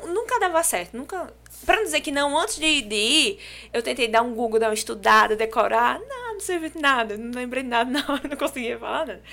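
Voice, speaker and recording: A young Brazilian woman from João Pessoa chats on a podcast, telling her story with lively energy. Her voice is bright and fairly high, with a clear, forward ring. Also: fast. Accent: Brazilian Portuguese, from João Pessoa. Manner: lively, animated storytelling with restarts and laughs. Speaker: podcast guest. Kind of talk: casual podcast chat.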